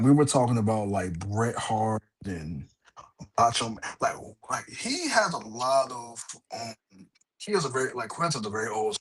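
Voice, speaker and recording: A man's voice: mid-range voice, slightly rough, rough recording. A man hosting a wrestling podcast in casual chat, rambling with lots of fillers and restarts. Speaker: podcast host.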